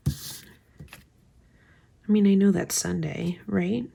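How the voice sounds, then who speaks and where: A woman's voice: mid-range voice, fairly even intonation, slow pace, gravelly, mellow, husky. A woman with a slight cold and a stuffy voice, chatting casually as she plans, thinking aloud and often dropping to a whisper. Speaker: planner vlogger. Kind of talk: casual craft chat while planning.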